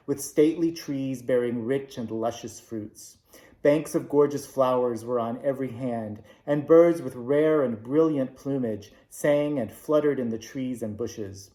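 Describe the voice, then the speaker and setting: A man reading a classic children's novel aloud, with measured, expressive narration. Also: mid-range voice. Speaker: storybook reader. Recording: roomy echo.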